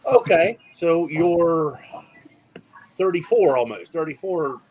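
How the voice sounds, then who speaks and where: A man hosting a casual podcast chat, animated and excitable when telling a story. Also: fairly high pitch, takes it slowly, a little hiss or hum, low-quality recording. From rural area. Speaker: podcast host.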